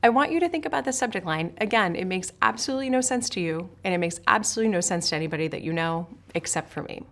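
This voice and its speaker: A woman's voice: lowish voice, expressive, quick pace, fairly bright tone. A woman from Switzerland, a sales trainer, giving a scripted lesson straight to the viewer in a direct, emphatic way. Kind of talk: scripted instructional talk to camera.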